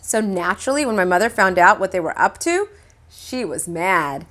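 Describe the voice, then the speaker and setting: Animated woman reading a children's story. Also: medium pitch, normal speed. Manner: animated, acts out dialogue. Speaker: teacher reading a book aloud to pupils. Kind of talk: expressive children's story read-aloud.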